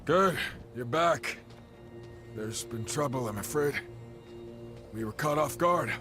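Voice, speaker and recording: An actor voicing a calm male mentor who speaks steadily and reassuringly. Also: mid-range voice, takes it slowly. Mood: concerned. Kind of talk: video game voice acting. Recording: game cutscene with loud music.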